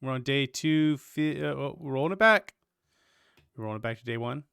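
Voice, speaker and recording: A man's voice: mid-range voice, expressive, a little fast, creaky, a bit bright, smooth, no echo. A man doing casual livestream commentary with wry, joking asides. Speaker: streamer.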